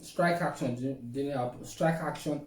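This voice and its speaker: A man's voice: mid-range voice, normal speed, a little mellow. A middle-aged man with a Nigerian accent, playfully teasing as he tells stories in casual chat. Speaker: co-host of a couple's relationship vlog. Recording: echoey room, distant microphone.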